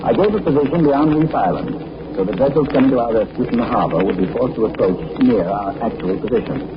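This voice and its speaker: A dashing gentleman adventurer, crisp and sure of himself, with a lively, swinging delivery, played by an actor. The sound is muffled, with a steady hiss from the old broadcast. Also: medium pitch, fast talker, close to an everyday voice. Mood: assured. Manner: crisp, assured, explaining. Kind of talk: 1940s radio acting. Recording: muffled, noisy 1940s radio broadcast.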